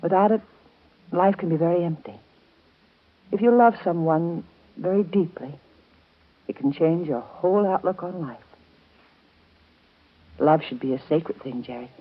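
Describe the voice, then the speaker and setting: An actress in a 1930s radio soap opera playing a patient, motherly guardian, gentle, earnest and coaxing. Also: lowish voice, unhurried, pauses often, phone-quality sound. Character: warm, patient motherly guardian woman of twins. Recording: band-limited scratchy 1930s radio broadcast.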